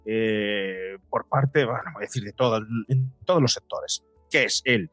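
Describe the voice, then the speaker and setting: A man's voice: fairly low pitch, a little slow, fairly bright tone, no room sound, soft background music. Middle-aged man, dry close sound. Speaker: interviewed guest, psychologist. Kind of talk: conversational interview explanation.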